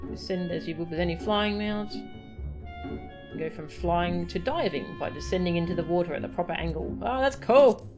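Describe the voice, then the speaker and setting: Woman streamer chatting and reading game tips, kinda low voice, game music under it. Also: normal speed. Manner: chatty, easily delighted, reacts out loud. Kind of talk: casual game commentary, reading tutorials aloud.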